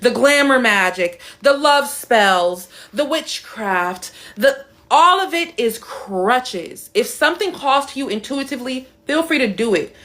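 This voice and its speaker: A young woman hosting a casual live stream Q&A, blunt and emphatic, who swears freely, answers chat comments and plays with her voice, dropping low or going high. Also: animated, unhurried, fairly bright tone. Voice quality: playful, shifts her voice between high and deep.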